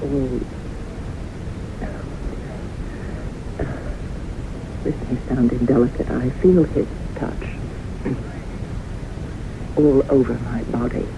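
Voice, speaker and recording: Haunted earnest woman, halting n intense, old radio play, slow, muffled noisy recording. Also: very deep voice, fairly even intonation, gravelly, full character voice. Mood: hushed, earnest. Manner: intense, halting, deliberate delivery. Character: haunted, earnest woman convinced death pursues her. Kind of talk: 1970s radio drama acting.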